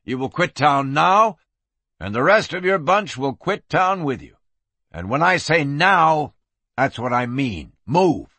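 Stern Western lawman, voiced by a male narrator: cold, curt, commanding, mid-pitched, recorded dry. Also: very animated, unhurried, a bit bright, dry recording.

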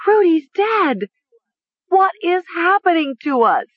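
A woman acting a shrill, hysterical young woman. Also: huge pitch swings, a little slow, slightly rough, dry recording, highs cut off, exaggerated voice. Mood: screaming panic. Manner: shrill, pleading, insistent. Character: hysterical young woman giggling in denial, turning frantic. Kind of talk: audiobook character acting.

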